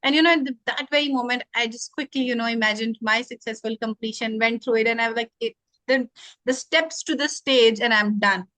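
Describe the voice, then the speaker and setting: Woman, higher voice. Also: fairly quick, sharp tone, dry recording, rough recording. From Pakistan. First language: not English. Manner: earnest, reflective, frequent "you know" fillers. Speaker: guest NLP trainer. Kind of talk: conversational interview.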